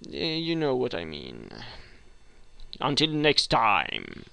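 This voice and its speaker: A man's voice: mid-range voice, huge pitch swings, takes it slowly, creaky, pushed and bright, clean tone, dry recording. A young man from Sweden with a Swedish accent, hosting a rambling game tutorial with many hesitations and restarts. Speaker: gaming tutorial host.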